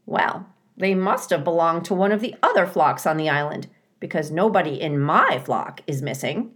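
A woman's voice: low voice, lively intonation, normal speed, a bit bright. A woman voicing a blunt, fussy, motherly female goose in a children's storybook, with squawking, emphatic, opinionated delivery. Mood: indignant. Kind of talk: children's storybook character reading.

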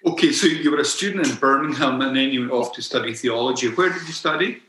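Scottish man, podcast host, bright tone, teasing chat, echoey room. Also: mid-range voice, fast, a little hiss or hum. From Scotland. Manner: friendly, teasing, chatty. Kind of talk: casual interview chat.

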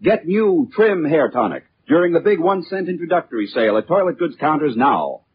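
American guy selling stuff in a 40s radio ad, real animated, muffled with a bit of hiss. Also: a little high, a little fast. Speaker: commercial announcer. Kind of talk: 1940s radio advertisement, scripted sales pitch. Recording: muffled 1940s radio recording, faint noise.

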